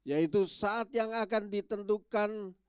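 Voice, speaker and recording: Slow-talking pastor, nasal from a cold. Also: male voice, high voice, smooth. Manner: slow, friendly, many filler yeses. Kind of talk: sermon. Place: church.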